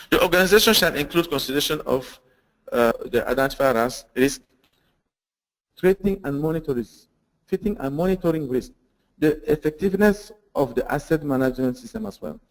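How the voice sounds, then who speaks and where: A man's voice: fairly high pitch, fairly bright tone, rough recording. Earnest man. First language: French. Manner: earnest, with repeated fillers, restarts and rhetorical questions. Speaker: webinar presenter. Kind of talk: webinar presentation on security standards.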